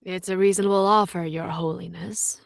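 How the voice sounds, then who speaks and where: A woman voicing a cocky female knight commander who taunts with a smirk, in a slow, drawn-out delivery. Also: on the low side, steady tone, creaky, dry recording, clean studio sound. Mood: smug.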